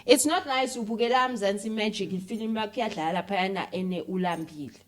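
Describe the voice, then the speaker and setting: A woman's voice: mid-range voice, fast talker. A South African woman talking casually and informally as an interview guest, mixing languages as she goes. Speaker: interview guest, filmmaker and content creator. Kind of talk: casual conversational interview, code-switching.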